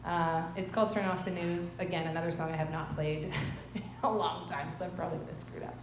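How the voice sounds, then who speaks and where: A woman's voice: fairly low pitch, steady tone, fast talker, a little hiss or hum. A woman singer-songwriter on a live stage, introducing her song in a rambling, self-deprecating way. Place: live music hall stage. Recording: echoey hall with guitar behind.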